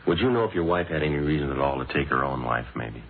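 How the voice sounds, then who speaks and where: A man playing a courteous police detective in a vintage radio drama, clipped, deadpan and matter-of-fact. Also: rumbling low, fast talker, gravelly, exaggerated voice. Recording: tinny, noisy vintage radio recording.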